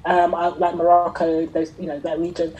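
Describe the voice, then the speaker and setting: A middle-aged woman telling her own story in an interview, talking conversationally in a reflective, halting way and often rephrasing. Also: lowish voice, monotone, warm tone. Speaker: interviewee, fashion entrepreneur.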